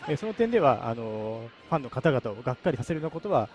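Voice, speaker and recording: A man, a game developer, answering interview questions haltingly with many drawn-out fillers. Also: medium pitch, big pitch swings.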